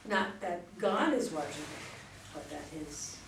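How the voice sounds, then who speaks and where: A woman speaks in a casual group discussion, picked up from a distance in an echoey room. Her pitch is average and a faint layer of room noise sits behind her. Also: lively intonation, unhurried, gravelly. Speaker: participant in a play discussion group.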